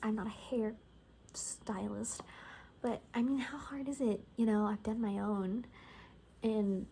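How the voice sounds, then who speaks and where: Soft, half-whispering woman in ASMR roleplay. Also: mid-range voice, fairly even intonation, measured pace, slight background noise, low-quality recording. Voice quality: soft, breathy, often whispering. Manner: rambling, gossipy, hesitant casual delivery. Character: cocky, gossipy young woman survivor of zombie apocalypse. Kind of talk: soft-spoken ASMR roleplay, improvised chat.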